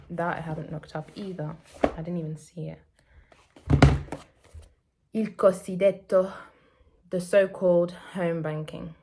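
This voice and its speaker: Casual, thoughtful and unhurried: a woman with a slightly low voice and French as her first language, talking her way through readings on a learning vlog. she thinks aloud as she goes, piecing each meaning together bit by bit.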